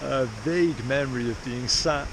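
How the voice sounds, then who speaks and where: A man from South Wales, chatting casually and haltingly to camera as he explores outdoors. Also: medium pitch. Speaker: vlogger, artist explorer. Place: outdoors in rainy, windy hills. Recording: loud wind and outdoor noise.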